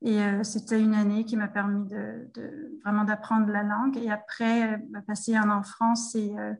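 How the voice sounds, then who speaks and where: A middle-aged woman talks as a guest over a remote call. Her pitch barely moves, and her speech stumbles along with many fillers and restarts. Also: little pitch movement, moderate pace, creaky, darkish tone, rough recording. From Denmark. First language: Danish. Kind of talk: casual interview over a remote link.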